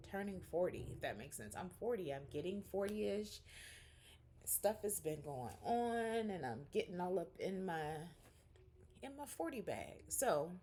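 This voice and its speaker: A woman's voice: on the low side, warm tone, slight background noise. A woman in her forties recording a casual, rambling catch-up vlog at home, talking candidly to her viewers. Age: 40s. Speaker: vlogger.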